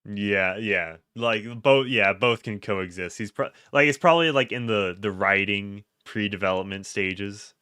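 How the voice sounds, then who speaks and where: Low, bright-toned male streamer. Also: smooth, no echo, clean studio sound. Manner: laughs often, halting with restarts. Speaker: streamer host. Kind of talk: casual livestream chat, reading chat and tweets aloud.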